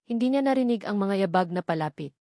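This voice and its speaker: A woman's voice: mid-range voice, animated, fast talker, dark tone, dry recording, studio quality. A woman reading a scripted romantic drama as a story narrator. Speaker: narrator of audio love stories.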